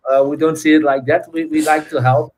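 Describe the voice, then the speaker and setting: A man with a Dutch accent, a guest in casual chat, talking haltingly with many fillers and restarts. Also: a little high, little pitch movement, a crowd in the background. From Netherlands.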